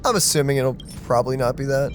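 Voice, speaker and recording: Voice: medium pitch, fast talker. A gaming streamer giving casual commentary during a let's play. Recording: faint game music behind.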